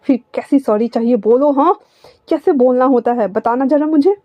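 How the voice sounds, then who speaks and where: A woman's voice: fairly high pitch, moderate pace, no room sound, muffled recording, natural voice. A woman voicing a stern, irritated young husband who scolds curtly in a storybook reading. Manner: curt, scolding.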